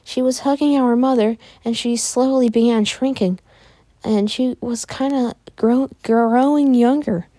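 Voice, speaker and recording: A woman's voice: on the high side, steady tone, unhurried, mellow, no echo, pitched above the performer's own voice, lightly acted. A woman voicing an anxious, protective older sister in a story, urgent and pleading. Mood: distraught.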